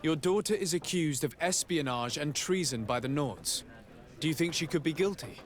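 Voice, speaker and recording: An actor playing a polite, composed young diplomat in a video game, speaking formally. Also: male voice, fairly high pitch, animated, normal speed, close to an everyday voice. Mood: businesslike. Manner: formal, courteous questioning. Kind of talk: video game cutscene dialogue. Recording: clear noise, outdoor ambience behind.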